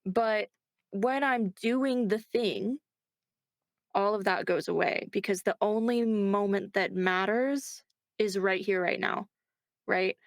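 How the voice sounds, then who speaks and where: A woman with a mid-pitched voice talks as an interviewed voice actor. She is chatty and reflective, often interrupting herself to rephrase. The sound is dry, with very little room echo. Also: slow. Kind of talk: conversational interview.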